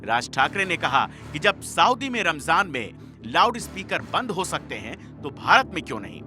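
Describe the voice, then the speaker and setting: Man, news voice-over, highish pitch, swinging animated intonation, emphatic dramatic delivery. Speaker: news report narrator. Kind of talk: dramatic scripted news narration. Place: voice-over recording with music behind.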